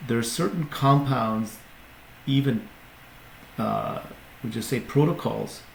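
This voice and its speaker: A man with a low, gravelly voice explains his company as a podcast guest, stopping and restarting his sentences. The room is echoey, with faint ambient noise behind him. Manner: explanatory, many restarts and fillers. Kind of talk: casual interview talk.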